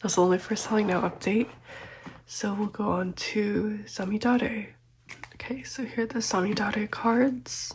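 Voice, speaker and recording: A young woman with a touch of congestion talks slowly and softly, often dropping into a near whisper. Her pitch sits in a natural middle range, and she fills pauses with gentle ums. A faint hiss and small clicks sit under the voice. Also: steady tone. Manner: gentle, chatty, lots of ums and small laughs. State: slightly sick, congested. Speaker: photocard collecting vlogger. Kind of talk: soft-spoken collecting vlog, often whispered.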